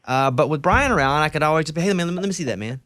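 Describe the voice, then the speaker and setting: A man's voice: on the high side, animated, racing, fairly bright tone, no room sound. A man hosting a radio show, chatting casually and enthusiastically with a guest, often restarting his sentences. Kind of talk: casual interview chat. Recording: dry close studio mic.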